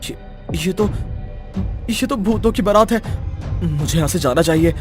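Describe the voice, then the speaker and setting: A man playing an earnest, easily spooked young village son in an animated story. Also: high-pitched, moderate pace, over music. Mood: frightened, confused.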